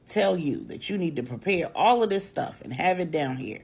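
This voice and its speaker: A woman's voice: fairly low pitch, expressive, normal speed, slightly rough. Woman voicing a defiant, skeptical man on the run. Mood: incredulous. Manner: incredulous, challenging, stubborn.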